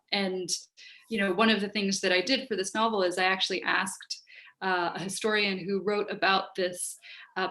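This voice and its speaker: A woman's voice: medium pitch, fairly bright tone. Thoughtful woman thinking aloud. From United States, Hungarian-speaking home. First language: Hungarian. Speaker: writer giving an online workshop. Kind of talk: informal talk answering questions. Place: book-lined room at home. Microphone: video call, wearing headphones.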